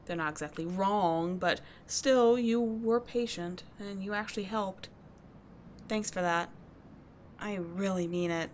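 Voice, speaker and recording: A tough, sardonic teenage delinquent girl with a kind streak, acted by a woman in an audio roleplay. She speaks at a medium pitch in a casual, confiding way, blunt and halting by turns. Faint ambient noise sits behind her. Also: close to an everyday voice. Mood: sincere, grateful. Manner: casual, sweary, halting confiding talk with soft asides. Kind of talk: scripted audio roleplay addressed to the listener.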